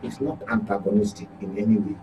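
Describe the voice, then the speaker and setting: Low-pitched Nigerian man, humming noisy recording. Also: steady tone, quick pace. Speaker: journalist, guest commentator. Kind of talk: political commentary on a talk show. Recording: clearly noisy with electrical hum.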